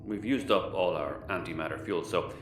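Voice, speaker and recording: Man playing deep, gruff ship's engineer. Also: normal speed, slight background noise, natural voice. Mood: matter-of-fact. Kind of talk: sci-fi audio comedy acting.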